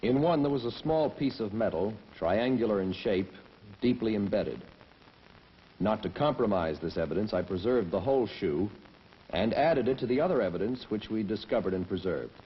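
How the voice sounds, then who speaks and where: A man's voice: lowish voice, animated, moderate pace, lightly acted. An actor playing a matter-of-fact male police detective, giving plain, methodical scripted testimony in a 1960s training film. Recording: muffled 1960s film soundtrack, hiss.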